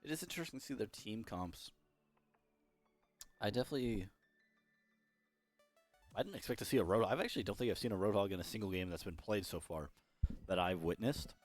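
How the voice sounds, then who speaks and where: Young male esports caster, low hoarse voice, loose rambling play-by-play. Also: lively intonation, fairly quick, long pauses, dry recording, soft background music. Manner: rambling, self-correcting, chatty. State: losing his voice. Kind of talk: solo play-by-play commentary.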